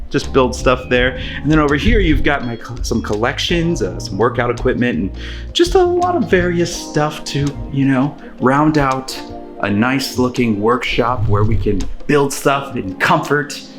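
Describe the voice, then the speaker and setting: A man's voice: medium pitch, roomy sound. A man hosting a casual, rambling update vlog, talking informally to his viewers and often checking in with them. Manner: informal, conversational, addressing viewers with tag questions. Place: spacious garage workshop.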